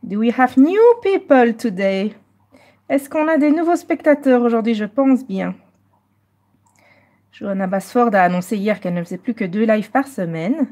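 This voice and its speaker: A Belgian woman hosting a live coloring stream, chatting casually and moving between French and slightly halting English. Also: a little high, lively intonation, dark tone.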